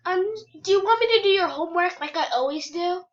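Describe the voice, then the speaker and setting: A woman's voice: very high-pitched, quick pace. A young girl playing an obedient, deferential maid in a game roleplay, on a dull home microphone. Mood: polite, eager. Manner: polite, deferential. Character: obedient young female maid serving a princess.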